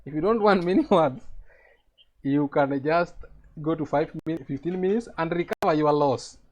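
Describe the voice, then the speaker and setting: A man's voice: fairly high pitch, moderate pace, a little mellow. A man with a Kenyan accent teaching a live trading lesson over a video call, explaining step by step and checking that his students follow.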